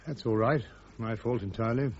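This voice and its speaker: An actor playing a bitter, proud, court-martialled army major in a radio drama, curt, dry and sardonic, on a muffled recording. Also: male voice, medium pitch, a little fast, background noise, lightly acted. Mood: sardonic, firm.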